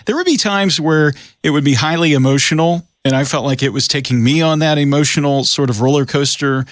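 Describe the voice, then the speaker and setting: A man's voice: on the high side, brisk, dry recording, clean studio sound. A man hosting a radio interview in a casual, conversational style. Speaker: radio show host.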